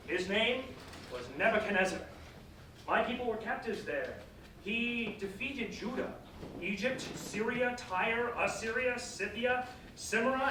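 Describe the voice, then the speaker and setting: A man playing a defiant, devout captive storyteller in a theatrical staged reading, with earnest, oratorical, measured phrasing. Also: high-pitched, fairly bright tone, slight background noise. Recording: roomy, distant live stage recording.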